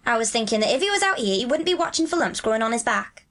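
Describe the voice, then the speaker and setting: A woman's voice: a little high, very animated, fast talker, fairly bright tone, pitched above the performer's own voice. An earnest young Yorkshire lad from a storybook, as a woman narrator acts him out. He talks in broad rustic dialect, plain and homely. His manner is kindly and reassuring. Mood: hopeful.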